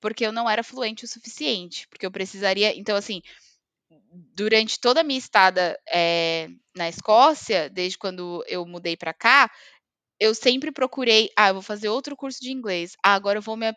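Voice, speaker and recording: Bright-voiced Brazilian woman. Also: mid-range voice, normal speed, dry recording. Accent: Brazilian Portuguese. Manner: hesitant, many fillers. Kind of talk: casual chat. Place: living in Scotland.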